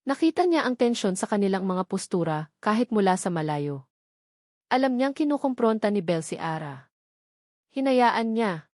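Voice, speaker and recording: A woman with a Filipino accent reads a dramatic romance story as its narrator. Her pitch sits in the middle of the female range, and the sound is very dry, with little room around it. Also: no echo. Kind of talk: dramatic scripted narration of a Tagalog love story.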